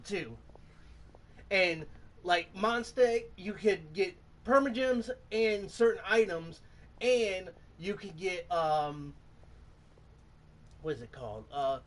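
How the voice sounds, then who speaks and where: A man hosting a gaming channel, giving casual, halting commentary and often groping for words. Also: sits high. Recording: voice distant from the mic.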